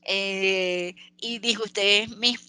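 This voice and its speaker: Woman, Venezuelan accent, earnest, halting, crisp bright tone. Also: mid-range voice, fairly even intonation, no echo. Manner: earnest, halting with many restarts and fillers. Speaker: guest. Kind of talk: interview over a call.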